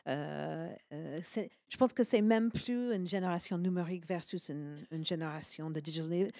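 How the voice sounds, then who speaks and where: A woman's voice: lowish voice, normal speed, dark tone, dry recording, clean studio sound. A woman in her forties with an American accent, speaking earnestly on a panel discussion with many fillers. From United States. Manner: earnest, emphatic, with frequent fillers.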